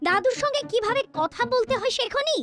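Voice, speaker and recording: A woman's voice: very high voice, expressive, a little fast, over loud music. An actress voicing a strict mother in a cartoon, with a firm, reproving manner. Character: shrill, strict mother of a sickly boy. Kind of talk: cartoon voice acting.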